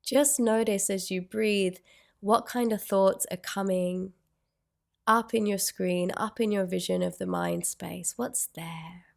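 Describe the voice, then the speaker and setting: Woman doing guided meditation, super slow n soothing, pretty flat pitch, long pauses. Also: creaky. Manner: soothing, unhurried, gentle phrasing with long pauses.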